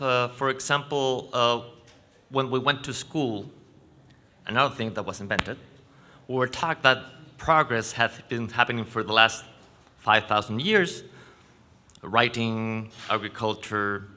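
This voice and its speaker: Conference speaker, male, Chilean Spanish accent, bright forceful voice, explanatory and halting delivery. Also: mid-range voice. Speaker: panel speaker. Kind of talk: conference presentation. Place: conference venue stage.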